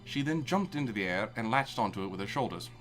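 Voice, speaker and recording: Casting: male comedic story reader, mid pitch, animated intonation, irreverent, loose live feel, music underneath. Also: fast. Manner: irreverent, breaks into swearing and amused asides. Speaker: reader of fan fiction.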